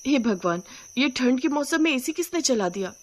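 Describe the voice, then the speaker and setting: Woman playing a high-pitched exasperated young bride. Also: expressive, normal speed, a little hiss or hum. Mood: alarmed. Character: young new bride, exasperated, complaining, sarcastic.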